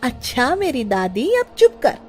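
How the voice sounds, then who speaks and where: Woman playing a fretful high-voiced mother. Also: very animated, a little slow, a little mellow, faint music behind. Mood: scolding. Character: anxious, scolding wife and mother. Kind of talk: children's cartoon story voice acting.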